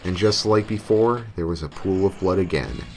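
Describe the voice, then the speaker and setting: A man's voice: low voice, normal speed, a little hiss or hum. A man reading a scripted first-person creepypasta story as its narrator. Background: music.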